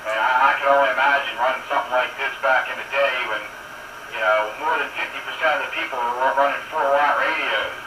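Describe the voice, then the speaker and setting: A man's voice: medium pitch, steady tone, fast talker, echoey room, recorded from a distance, background noise. A man chatting casually and technically as a hobbyist showing off his equipment.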